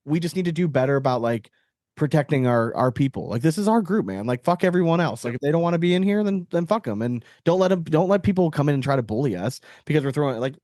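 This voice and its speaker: A man's voice: a little high, expressive, fast talker, warm tone, dry recording. Guy podcast host, close dry sound, casual, opinionated and cusses a lot. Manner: profane, opinionated.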